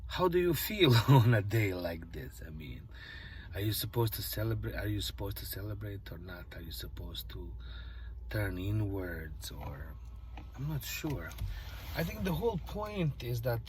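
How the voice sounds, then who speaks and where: A man with a low, deep voice talks to camera in a loose, reflective monologue. He muses softly and keeps slipping into near-whispers. A faint hiss of noise sits under the recording. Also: big pitch swings, a little slow. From Bosnia. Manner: musing, soft-spoken, drifts into near-whispers. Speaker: vlogger. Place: parked car.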